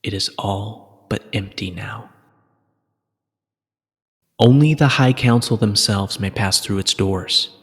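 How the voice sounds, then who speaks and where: A man reading a fantasy story as scripted dramatic narration, in a solemn, measured storytelling manner. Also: lowish voice, breathy edge. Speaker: narrator reading fan fiction. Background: music.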